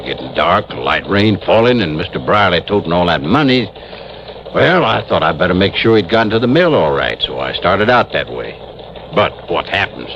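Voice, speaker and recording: An actor playing a grumbling, insistent small-town police chief in an old radio drama. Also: male voice, deep voice, sing-song, moderate pace, a bit bright, full character voice. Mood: folksy, earnest. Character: folksy, grudge-bearing small-town male police chief. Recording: dull, hissy vintage radio recording.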